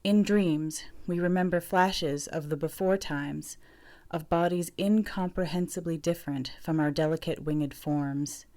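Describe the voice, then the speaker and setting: A woman's voice: sits low, fairly even intonation, unhurried, mellow. A woman giving a scripted reading of lyrical, literary prose. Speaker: reader of a literary piece.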